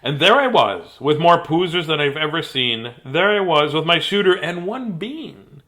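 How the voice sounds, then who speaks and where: A man's voice: a little high, sing-song, moderate pace, sharp tone, voice pushed up. A man voicing a hapless young storybook hero who tells his troubles in dramatic, plaintive rhyme. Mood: daunted.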